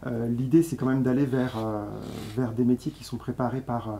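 Fast-talking man explaining, mellow tone. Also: medium pitch, fairly even intonation, a little hiss or hum. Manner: explanatory, with frequent hesitations. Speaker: deputy director of a translation school. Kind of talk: studio interview answers. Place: TV studio set, seated at a table.

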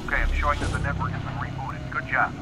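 An actor playing a brisk, businesslike male special forces coordinator in a video game. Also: mid-range voice, level tone, very noisy, lightly acted. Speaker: team coordinator on comms. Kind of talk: video game radio briefing.

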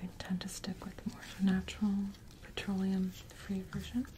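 A woman softly whispering in a breathy, gentle, reassuring way, as a caring dental hygienist in an ASMR roleplay. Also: mid-range voice, level tone. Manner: gentle, reassuring, unhurried personal attention. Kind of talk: soft-spoken ASMR roleplay.

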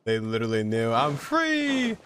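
A young man chatting casually and excitedly as a game streamer, exclaiming in slang as he reacts. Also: medium pitch, moderate pace, background noise. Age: young adult. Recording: game music behind. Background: video game music.

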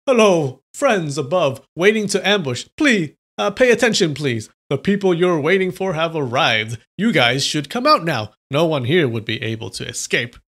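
A man voicing a rowdy male swordsman who plays drunk, with loud, slurring, boastful bluster. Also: fairly high pitch, expressive, measured pace. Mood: boisterous drunk.

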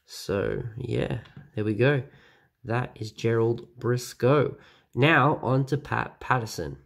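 A young man with a stuffy, nasal voice from a cold, chatting excitedly with many fillers as he reviews toys at home. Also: mid-range voice, takes it slowly, creaky. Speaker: toy reviewer. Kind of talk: casual review vlog. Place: small room at home.

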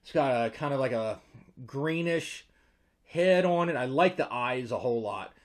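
A man's voice: mid-range voice, animated, normal speed. Casual middle-aged American man reviewing gear. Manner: informal, conversational. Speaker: host, fishing lure reviewer. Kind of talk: casual product review to camera. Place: small indoor room with tackle-covered walls. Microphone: desk microphone beside him.

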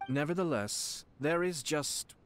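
An actor playing a poised young noble commissioner in a video game, speaking in a formal, measured, gracious way. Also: male voice, on the high side, expressive, fairly bright tone, slight background noise. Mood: composed.

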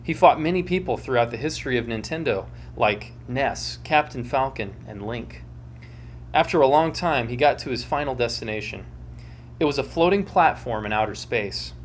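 Male reader, twenties, mock-serious and theatrical, animated delivery, home recording with faint noise. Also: mid-range voice, normal speed. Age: 20s. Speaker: show host and reader. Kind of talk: dramatic comic story reading. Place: small home room with bookshelves, indoors. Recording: clear background hum and noise.

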